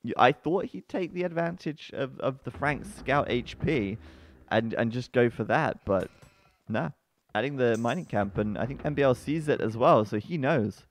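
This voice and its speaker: A man commentating a strategy game match live, chatty and full of fillers, breaking into excited outbursts at the action. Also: normal speed, a little hiss or hum. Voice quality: bassy-sounding voice. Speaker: esports commentator.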